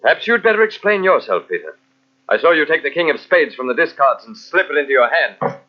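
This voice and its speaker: An actor playing a vengeful, jealous army captain in old-time radio mystery style, with a brooding, menacing, melodramatic delivery. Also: male voice, on the high side, very animated, fairly quick. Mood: cold accusation. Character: vengeful, coldly jealous army captain narrating his tale. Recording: muffled old radio show from cassette.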